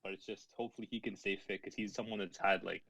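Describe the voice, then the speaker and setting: Low-voiced young man talking football casually. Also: fairly even intonation, fast talker, vocal fry, a crowd in the background. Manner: slangy, peppered with "like" and "bro". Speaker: football podcast co-host. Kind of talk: casual sports chat among friends.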